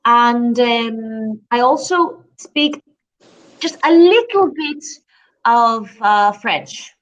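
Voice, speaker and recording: A young woman tutoring English over a video call, patient and relaxed, with a lot of fillers. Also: a little high, very slow, rough recording. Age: young adult. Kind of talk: casual conversation lesson.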